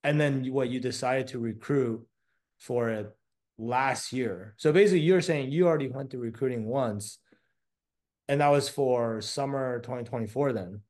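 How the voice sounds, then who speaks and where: A man who moved to the States from Taiwan as a child, hosting an interview in a relaxed, casual way with many fillers. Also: mid-range voice, normal speed. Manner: casual, friendly, lots of fillers like "um" and "like". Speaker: host.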